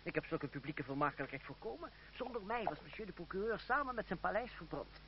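An actor playing a bold, proud and ironic young fugitive in a radio play, with courtly, theatrical diction. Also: male voice, high voice, lively intonation, fast. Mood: wry, unruffled. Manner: courtly, wry, declamatory. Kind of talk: old Dutch radio drama acting, theatrical, formal diction. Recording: dull, noisy old radio play recording.

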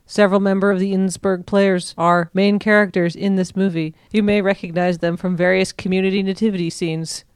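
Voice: mid-range voice, little pitch movement, a little fast, hardly any pauses, clean tone, no echo, close to an everyday voice. A performer playing a chipper, dry-witted small-town arts correspondent on a comedy radio show. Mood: matter-of-fact. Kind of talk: comedy radio review segment.

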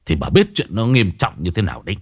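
A man's voice: low voice, very animated, fast, breathy edge, exaggerated voice. A man voicing a hot-tempered, stubborn old husband who scolds and snarls in bitter, foul-mouthed questions, in a dramatic audio story. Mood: bitter, accusing. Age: older.

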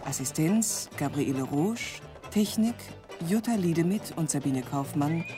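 A woman radio announcer reading scripted credits in a formal, measured way. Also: low-pitched, lively intonation. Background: prominent music.

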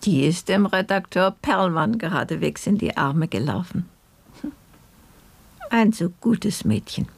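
An actress playing an older grandmother who narrates her youth as a maidservant in an unhurried, reflective radio drama style. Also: fairly low pitch, animated, vocal fry, no room sound, full character voice. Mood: reflective, fond.

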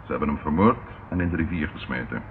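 An actor playing a shrewd policeman in a radio play, deliberate and matter-of-fact in manner. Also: very deep voice, animated. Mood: reasoning. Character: measured, shrewd policeman colleague reporting grim findings. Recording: muffled, noisy old radio recording.

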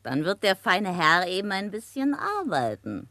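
Eine Schauspielerin spielt in einem Krimihörspiel eine dreiste, ordinäre Erpresserin, die höhnisch stichelt und keift. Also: female voice, medium pitch, very animated, measured pace, pushed and bright, dry recording, full character voice. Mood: sneering, mocking. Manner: sneering, taunting. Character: brazen, mocking female blackmailer, coarse and shrill-tempered. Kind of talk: German radio crime drama acting.

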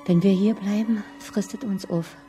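A woman playing a frightened, distraught wife in a radio drama, with a breathy, husky voice. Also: medium pitch, steady tone, normal speed, mellow, faint music behind, lightly acted. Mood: desperate. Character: frightened, distraught wife hiding in her flat. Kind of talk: German radio drama acting.

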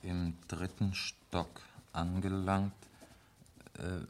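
An actor playing a dutiful police lieutenant in a German radio crime drama, formally and methodically reading out a report. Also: male voice, low voice, little pitch movement, extremely slow. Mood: methodical, sober. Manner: formal, methodical, hesitant fillers.

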